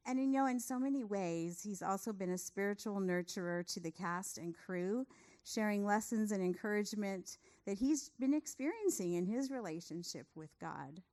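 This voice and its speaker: A woman, a theater producer giving a speech of thanks to a cast and crew. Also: medium pitch.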